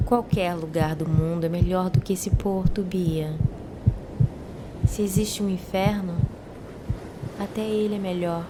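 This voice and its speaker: A woman playing a seductive, sassy mermaid in an audio drama, speaking in a soft, delicate, beguiling way. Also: lowish voice, fairly even intonation, measured pace, pauses often, heavy background noise, close to an everyday voice. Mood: sassy, contemptuous.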